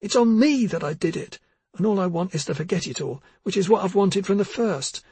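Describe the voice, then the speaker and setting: A man voicing a distraught teenage girl who sobs and shouts in a strained, high voice. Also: a little fast, breathy edge, no room sound, full character voice. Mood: sobbing, defiant.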